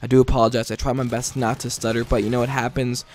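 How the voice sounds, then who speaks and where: A tired man giving casual gameplay commentary late at night, stuttering often and filling pauses with ums and likes. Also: mid-range voice, level tone, a little mellow, a little hiss or hum. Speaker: gaming video commentator.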